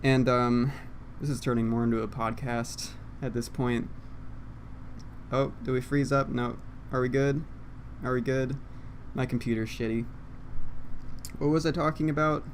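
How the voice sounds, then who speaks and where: A man's voice: medium pitch, fairly even intonation, a little slow. A young man talking casually into a condenser mic at his computer, rambling in a self-deprecating way with lots of fillers. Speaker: YouTuber. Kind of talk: unscripted rambling monologue. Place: home room, seated at computer. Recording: faint background noise.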